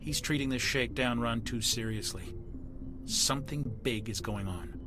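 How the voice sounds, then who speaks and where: A man's voice: mid-range voice, normal speed, music in the background, natural voice. An actor playing a wary, plain-spoken male military ship's officer in a video game, respectful but emphatic and suspicious.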